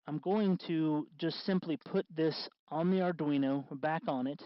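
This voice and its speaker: A man hosting a casual hands-on DIY tutorial, explaining in a halting, unscripted way, with slightly muffled sound. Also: a little high, dry recording. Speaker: host of DIY electronics tutorial.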